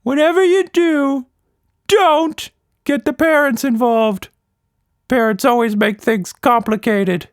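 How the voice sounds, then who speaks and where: A man's voice: extremely high pitch, steady tone, slow, smooth, no room sound, studio quality, pitched above the performer's own voice. A quirky, friendly monster speaks far above a normal male register. The delivery is emphatic and theatrical, with cocky confidence, like a big blobby creature from a children's storybook. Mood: bossy.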